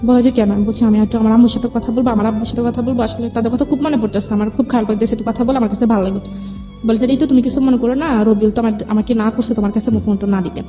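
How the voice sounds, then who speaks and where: A young Bangladeshi woman with a fairly high voice shares a personal story as a guest. She speaks colloquially, relaying conversations as she goes. The sound is slightly dull and lo-fi, with music playing underneath. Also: steady tone, racing. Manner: colloquial, recounts dialogue as reported exchanges. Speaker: guest telling her life story. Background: background music.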